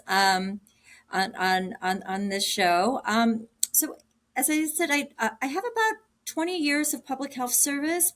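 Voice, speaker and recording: Earnest woman, unscripted and halting. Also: medium pitch. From Cambodia. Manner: warm, earnest, thinking aloud with many ums and restarts. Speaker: guest, agency director. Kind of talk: casual interview answers.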